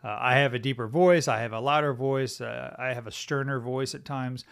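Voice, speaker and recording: A man's voice: medium pitch, fairly even intonation, normal speed, vocal fry, fairly bright tone, clear, resonant tone. A middle-aged man hosting a Bible teaching podcast, talking conversationally and thinking aloud with many fillers and "right?" tag questions.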